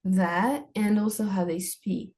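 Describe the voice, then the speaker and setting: A woman's voice: medium pitch, fairly even intonation, slow, mellow. A young woman whose first language is Armenian, a student answering interview questions in a hesitant way, often restarting and searching for words. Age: young adult. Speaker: student guest.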